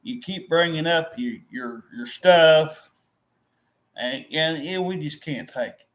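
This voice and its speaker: A man's voice: a little high, takes it slowly, a bit bright. A middle-aged man venting to his viewers, frustrated and repetitive, often restarting his sentences. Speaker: vlogger. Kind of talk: rambling to-camera vent.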